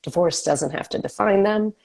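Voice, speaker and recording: A woman's voice: mid-range voice, normal speed, vocal fry, darkish tone. A woman who is a health coach, a guest on an interview show, talking in an upbeat way while sniffling from a cold. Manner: upbeat, promotional. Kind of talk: casual interview chat.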